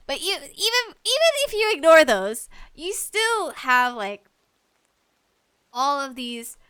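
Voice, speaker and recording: High clear girly voice, woman podcast host rambling casually, kinda slow but super enthusiastic. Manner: enthusiastic, animated delivery with swings of emphasis. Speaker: podcast host talking about video games. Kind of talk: casual rambling solo chat.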